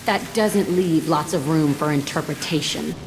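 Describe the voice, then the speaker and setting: A woman's voice: sits low, normal speed, background noise. An actress playing a tough, bitter young woman, speaking blunt and sardonic.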